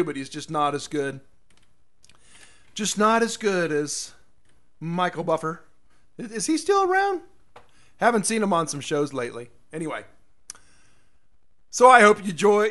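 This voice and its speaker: A middle-aged American man hosting a casual solo radio show, rambling and folksy, with a slightly hoarse voice. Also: high-pitched, fairly bright tone. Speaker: radio and podcast host.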